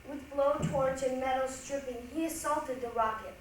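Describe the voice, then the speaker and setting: A woman's voice: high-pitched, normal speed. A woman giving dramatic scripted narration in a readers' theatre style. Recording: echoey hall, distant mic, faint noise.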